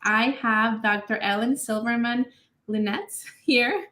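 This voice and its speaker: A woman from Honduras hosting a live webinar, speaking in a friendly, slightly halting way. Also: fairly high pitch, sharp tone, roomy sound. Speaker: host.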